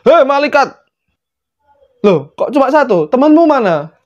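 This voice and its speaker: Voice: high voice, sing-song, normal speed, dull audio, voice pushed up. A storyteller voicing a bossy, impatient God in a comic tale, hurrying the angels in colloquial exclamations. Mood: impatient calling.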